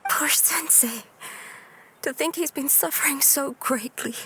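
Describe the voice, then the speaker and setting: Determined young swordswoman, slightly high voice. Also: a little slow, bright, forceful tone, slight background noise, heavily acted. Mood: sympathetic. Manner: earnest, respectful. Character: devoted, determined young female sword disciple. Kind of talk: dramatic video game acting.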